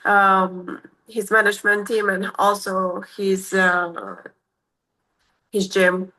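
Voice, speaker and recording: Romanian lady, english not her first language, slow and halting, bright voice. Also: medium pitch, little pitch movement, low-quality recording. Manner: hesitant, many fillers and restarts. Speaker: MMA fighter, guest. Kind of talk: casual interview answers.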